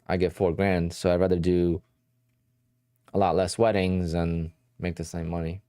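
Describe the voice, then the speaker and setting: A man from New Jersey talks through his livestream in a loose, rambling way. His voice sits very low with a dark, mellow tone, and the recording is close and dry with no room sound. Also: level tone, normal speed, clear, resonant tone, dry recording. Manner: rambling, conversational, answers chat questions. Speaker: day trading livestream host. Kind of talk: casual livestream chat.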